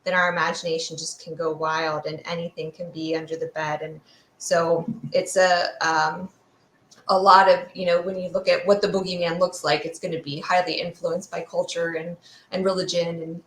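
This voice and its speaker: A woman's voice: fairly low pitch, little pitch movement, moderate pace, fairly bright tone, slight background noise. A woman speaking as a guest expert in an interview, explaining ideas in a conversational way. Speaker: guest expert, fear sociologist. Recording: roomy, echoey sound.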